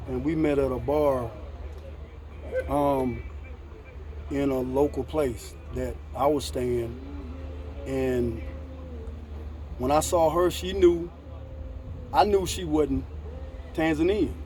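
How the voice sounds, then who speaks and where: An American man talks slowly in a mellow, darker voice, repeating himself as he settles into a point. He chats casually outdoors, with faint street noise underneath. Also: medium pitch. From United States. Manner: relaxed, repeats phrases, laughs. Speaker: host. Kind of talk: casual conversation.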